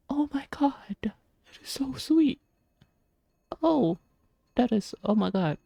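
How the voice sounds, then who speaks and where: A man's voice: very high voice, lively intonation, slow pace, vocal fry, warm tone, no echo. A man doing casual, gushing playthrough commentary, often repeating himself as he reacts to the story. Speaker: gameplay video creator.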